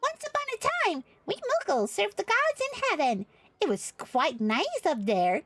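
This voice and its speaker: Small game creature, high-pitched, bouncy swooping delivery, earnest, theatrical, dry close sound. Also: female voice, very animated, measured pace, a bit bright, dry recording, faint music behind, exaggerated voice. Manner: theatrical, gushing, exclaims a verbal tic. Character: small chirpy moogle creature, earnest and reverent storyteller. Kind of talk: character voice reading game dialogue.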